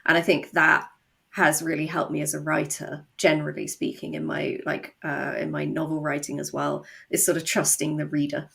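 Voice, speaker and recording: A woman with a low voice and noticeable vocal fry. She speaks as a writer being interviewed, chatting casually and slipping into little quoted asides as she explains. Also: level tone, moderate pace, fairly bright tone. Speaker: guest, audio drama writer and novelist.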